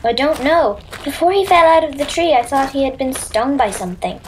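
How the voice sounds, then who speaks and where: A woman playing an earnest, warm-hearted young Irish girl in a children's audio drama. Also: sits high, expressive, a little fast, a little hiss or hum, poor audio. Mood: hurt.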